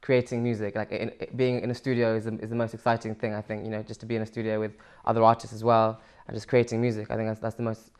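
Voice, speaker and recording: A man's voice: fairly low pitch, monotone, fast talker, few pauses, a little mellow, clean tone. A young man from Sri Lanka, answering interview questions casually with many fillers and restarts. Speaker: musician guest.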